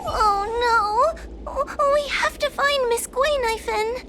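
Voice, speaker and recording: Very high-pitched, timid little girl. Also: slow, noisy background. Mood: panicked, tearful. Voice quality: small, childlike, thin voice. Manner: anxious, stammering, pleading. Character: timid, easily frightened young girl on official ghost-hunting duty. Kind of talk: anime-style video game voice acting.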